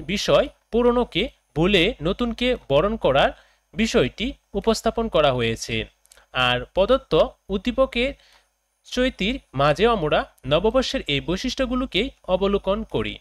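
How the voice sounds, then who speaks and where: Guy teacher reading lesson answers phrase by phrase, pretty high voice, very dry sound. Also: no room sound.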